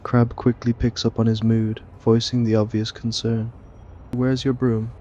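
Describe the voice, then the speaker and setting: A man's voice: medium pitch, level tone, measured pace, dark tone, lo-fi sound, a little hiss or hum. A man reading a scripted roleplay story, narration and dialogue alike. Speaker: story reader.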